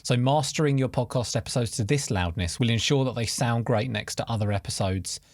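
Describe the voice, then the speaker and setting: A man's voice: mid-range voice, fairly quick, no echo, clean studio sound. A man presenting scripted tutorial narration in an informal, explanatory way, giving stress to key headings. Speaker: presenter of podcast production tips.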